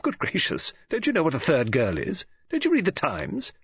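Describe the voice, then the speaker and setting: A man voicing an excitable, scatterbrained middle-aged woman novelist in an audiobook, gushing and rambling in a flustered way. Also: on the high side, normal speed, gravelly, breathy edge, no room sound, muffled recording, voice pushed up, full character voice. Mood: brisk, eager. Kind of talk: audiobook character dialogue.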